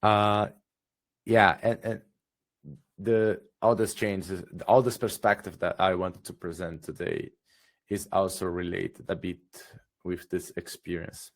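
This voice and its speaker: Hoarse, gravelly low man with Portuguese accent. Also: flat delivery, takes it slowly. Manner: hesitant, many fillers and restarts. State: hoarse, a bit sick. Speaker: guest presenter. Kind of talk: webinar presentation.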